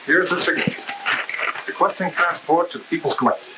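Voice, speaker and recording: An actor playing a curt, unyielding communist officer in a 1960s propaganda film, clipped and officious. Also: male voice, a little high, a little fast, close to an everyday voice. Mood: curt, commanding. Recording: hissy old film soundtrack.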